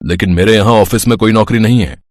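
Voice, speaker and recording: A man voicing a businesslike, stern office boss in an audio story, deliberate and authoritative. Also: on the low side, no room sound, natural voice.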